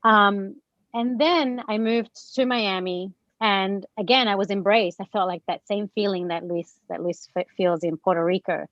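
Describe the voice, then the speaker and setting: A woman born in Chile and raised in Australia, moderating a panel on a video call, speaking earnestly and a little haltingly. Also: medium pitch, fairly even intonation, dry recording, highs cut off.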